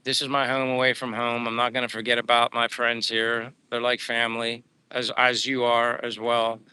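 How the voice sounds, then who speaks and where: A man's voice: medium pitch, monotone, moderate pace, sharp tone, smooth, dry recording, rough recording. Upbeat Pennsylvania man chatting on a livestream. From United States. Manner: rambling, upbeat, thanking viewers. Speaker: livestream host. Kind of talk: casual livestream chat reading viewer comments. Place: living abroad.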